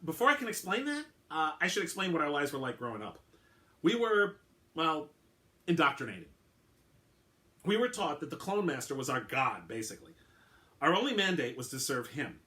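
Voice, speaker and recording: A formal, slightly strange male clone, as a man puts him on, raised a little above the reader's usual pitch, explaining himself patiently and in detail. His voice swings widely and carries a bright, forceful edge. He keeps catching and correcting his own words. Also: big pitch swings, moderate pace, pauses often. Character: eccentric male clone, earnest, oddly formal.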